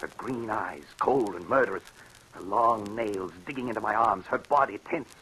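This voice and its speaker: An actor playing a haunted, bitter husband with grave, dramatic delivery in an old-time radio drama. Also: male voice, lowish voice, moderate pace, bright, forceful tone, a little hiss or hum, rough recording. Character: haunted, bitter husband recounting his troubled marriage.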